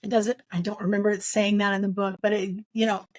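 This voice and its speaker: Woman podcast co-host, forties, casual book talk, halting and reflective delivery, close dry recording. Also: medium pitch, flat delivery, no room sound.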